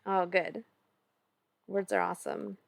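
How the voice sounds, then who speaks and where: Gravelly-voiced Minnesota woman chatting. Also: medium pitch, little pitch movement, slow pace, dry recording. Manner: chatty, thinks aloud through her moves. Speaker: streamer co-host. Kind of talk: casual chat while playing an online board game. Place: home room, indoors.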